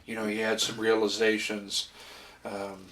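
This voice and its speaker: A middle-aged man in casual, reflective conversation about faith, speaking in a halting, thoughtful way. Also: lowish voice, monotone, fairly bright tone, slight background noise. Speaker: podcast co-host. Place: small room with foam panels. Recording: distant mic in a room.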